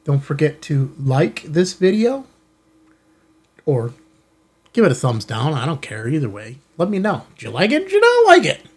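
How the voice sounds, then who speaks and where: A man's voice: fairly high pitch, wildly swooping pitch, moderate pace, slightly rough. An American man hosting a ham radio channel, chatting informally and casually to viewers as he shows off gear. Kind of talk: casual product unboxing chat to viewers. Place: indoor studio in an office building.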